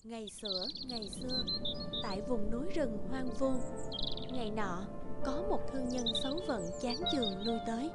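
A woman's voice: fairly high pitch, normal speed. A woman narrating a fairy tale in a scripted storytelling style. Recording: loud music under the voice.

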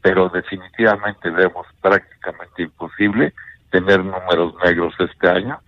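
An older man with a Mexican accent, a bank executive giving measured investment advice on a radio interview, often ending points with a questioning tag. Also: low voice, fairly even intonation, dry recording, dull audio. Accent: Mexican Spanish.

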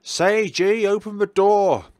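A man voicing an excitable, caring teenage schoolboy in a horror game, earnest and exclamatory. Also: sits high, takes it slowly, no echo, clean studio sound, pitched above the performer's own voice. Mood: alarmed.

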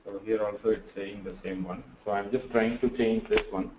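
A tired, sleepy man teaching a live online class, talking through his screen in a halting way full of fillers. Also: fairly low pitch, steady tone, reverberant, muffled recording, noisy background. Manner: halting, thinks aloud with many fillers. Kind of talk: live screen-share tutorial.

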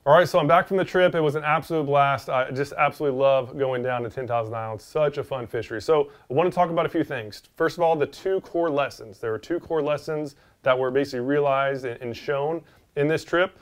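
A man's voice: fairly high pitch, a little fast. A man in his forties talking casually and enthusiastically to the camera as a fishing instructor, recorded indoors on a lapel mic. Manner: enthusiastic, informal. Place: small indoor studio room. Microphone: clip-on lapel mic.

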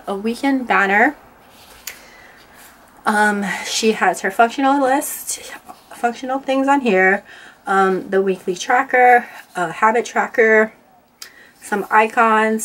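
A woman getting over a cold, sounding nasal, chatting casually with lots of ums as she walks through her planner stickers. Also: a little high. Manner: chatty, rambling, many ums. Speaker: planner vlogger. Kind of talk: casual plan-with-me narration. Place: indoors at a desk, overhead camera.

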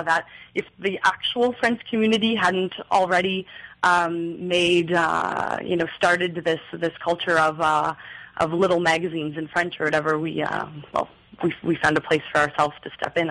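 A woman's voice: on the low side, a bit bright, poor audio. A young woman from New Brunswick, a magazine editor, answering questions thoughtfully in a radio studio interview, with many fillers and restarts. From New Brunswick, Canada. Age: young adult. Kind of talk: radio interview, reading aloud.

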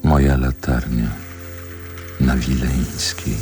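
Very low male voice, older, reflective poet, measured radio drama narration, faint music behind. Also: fairly even intonation, darkish tone, heavily acted. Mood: nostalgic, reflective. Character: reflective ageing poet from Warsaw recalling his youth. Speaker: narrator and protagonist.

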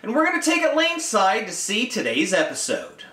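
A man's voice: high voice, brisk, husky, dry recording. A man in his thirties hosting a casual review chat, upbeat and conversational. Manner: upbeat, energetic opener then conversational. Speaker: host.

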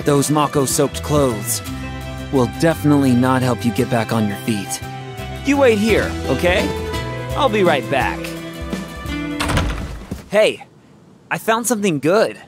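An actor playing an earnest, caring young soldier on the run in an English video game dub. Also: male voice, medium pitch, sing-song, measured pace, pauses often, music in the background. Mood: gentle, upbeat.

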